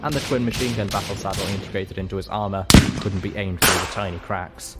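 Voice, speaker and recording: A man's voice: low voice, brisk. A man reading a story aloud as a dramatic first-person audiobook narrator. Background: music.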